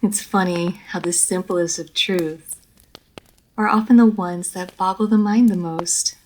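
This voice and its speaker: A woman playing an immortal vampire lady of a manor in an audio roleplay, formal and measured, poised and teasing, speaking straight to the listener. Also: on the low side, steady tone, a little mellow, a little hiss or hum, close to an everyday voice, low-quality recording. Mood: amused, exasperated. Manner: formal, measured, old-fashioned genteel phrasing. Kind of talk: scripted roleplay audio story, addressed to the listener.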